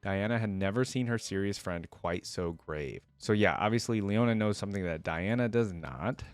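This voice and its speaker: A man's voice: lowish voice, moderate pace, no room sound. A man hosting a reaction channel, reading lore aloud and commenting as a fan in an informal, slangy way.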